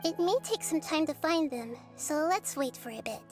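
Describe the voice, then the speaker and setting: A tiny girl goddess from a video game speaks in a very high, childlike voice. She sounds wise and caring, choosing her words gently and thoughtfully. Faint music runs underneath. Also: animated. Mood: reassuring. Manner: thoughtful, gentle, measured reassurance. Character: small girl deity, wise, kind and caring beyond her apparent years. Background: soft game music.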